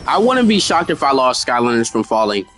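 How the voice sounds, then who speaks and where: A man's voice: medium pitch, sing-song, brisk, fairly bright tone, faint music behind. A slightly sick, sniffling man giving laid-back, chatty commentary while streaming a game. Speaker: gaming streamer. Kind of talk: casual gameplay commentary.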